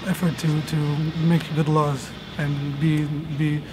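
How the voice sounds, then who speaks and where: A man's voice: on the high side, little pitch movement, slow, background noise. A young man from Croatia, a law student, answering interview questions and often stumbling over and repeating words. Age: young adult. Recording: music playing underneath.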